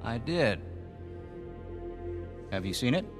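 A man's voice: medium pitch, big pitch swings, slow pace. A man playing a terse, displeased treasure-hunting rival in a video game, speaking pointedly. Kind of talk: cinematic video game dialogue. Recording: game music behind the voice.

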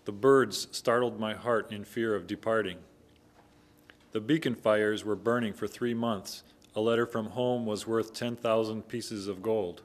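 Younger man reading verse evenly, low-pitched, a step back from a stand mic. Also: steady tone, a little slow. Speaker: English reader of the translation. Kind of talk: reading a poem aloud. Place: small community room with an audience. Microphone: stand microphone, a step away.

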